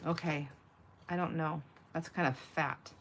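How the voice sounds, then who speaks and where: Female craft stream host, low nasal voice, rambles and thinks aloud informally, slight noise behind her. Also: steady tone, measured pace, fairly bright tone. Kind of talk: casual live chat while crafting.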